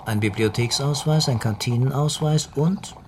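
An actor playing a brisk, probing police commissioner in a radio crime drama, speaking in terse questions. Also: male voice, mid-range voice, background noise, natural voice. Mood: musing, focused.

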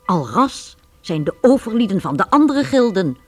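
A woman narrating a scripted radio play with animated, storybook delivery. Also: mid-range voice, normal speed, faint music behind.

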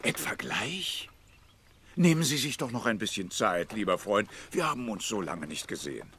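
An actor playing an old, warm-hearted, talkative Russian army captain in a radio drama, emotional and familiar in manner. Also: male voice, fairly low pitch, very animated, background noise. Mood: eager, pleading. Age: older. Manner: emotional, effusive, familiar, halting when agitated.

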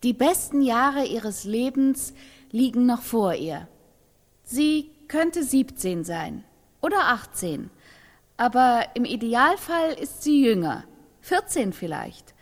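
Woman testifying, radio drama, high voice, slow, deliberate, long hall reverb. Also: lively intonation, full character voice. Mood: measured, assured. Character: woman giving a slow, careful, prompted promotional testimony. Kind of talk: radio play acting, measured monologue.

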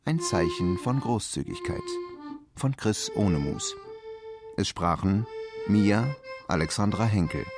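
A male announcer with a low voice reads credits at a slow, measured pace. Accordion and guitar music plays clearly behind him. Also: lively intonation.

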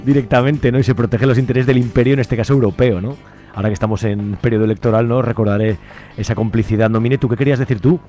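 A man's voice: medium pitch, fairly even intonation, quick pace, dark tone, soft background music. A man with an accent from Spain interviewing on the radio in a conversational way, with long questions full of tag questions. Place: radio studio.

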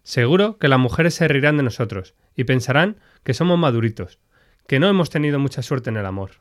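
A man's voice: mid-range voice, animated, brisk, lightly acted. An actor playing a fed-up male protest organiser in a scripted satirical audio drama, with music behind. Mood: conspiratorial, wry.

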